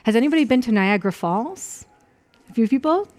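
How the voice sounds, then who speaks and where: A woman's voice: on the high side, lively intonation, fairly quick, darkish tone. A woman with an American accent, a university professor, giving an introductory lecture in a friendly, conversational way and asking the audience questions. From Rochester, New York, USA.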